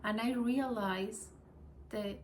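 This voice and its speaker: A woman whose first language is Spanish, with a Spanish accent, reading spiritual lessons slowly and hesitantly, adding her own reflections. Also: fairly high pitch, steady tone, slight background noise. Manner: hesitant, apologetic, with small laughs and restarts.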